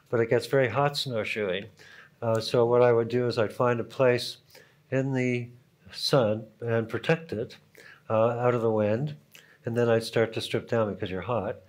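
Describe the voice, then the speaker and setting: A man with a Canadian accent speaking on a conference panel, telling a relaxed anecdote full of asides. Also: mid-range voice. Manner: relaxed storytelling with asides and soft chuckles. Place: conference hall.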